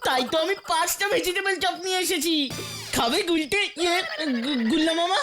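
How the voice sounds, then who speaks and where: A timid, eager young gang henchman from a comic cartoon. The voice is extremely high and nervous. The accent is Bengali, and loud music plays behind it. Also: a little fast. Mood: nervous explaining.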